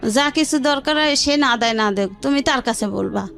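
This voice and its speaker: A woman with a Bangladeshi accent speaks in a notably high voice. She recounts her own life as an interview guest, colloquially and with reported dialogue, while music plays underneath. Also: normal speed, gravelly, a bit bright. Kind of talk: personal storytelling in an interview.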